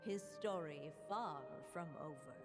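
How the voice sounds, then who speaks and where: A woman playing a solemn storybook narrator in a video game, measured and grave. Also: low voice. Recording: long reverb, music behind.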